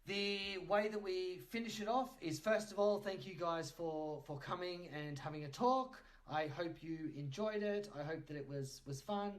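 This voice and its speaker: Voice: mid-range voice, normal speed, hardly any pauses, rough recording. Playful, teasing podcast host chatting casually.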